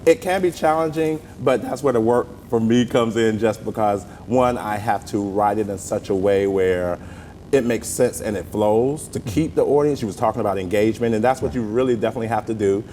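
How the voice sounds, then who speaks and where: A man with a Southern US accent talking conversationally as an interview guest, telling stories in an animated, folksy way. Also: brisk. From southern United States. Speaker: interview guest, playwright and stage actor. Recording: roomy long reverb with rumbling noise.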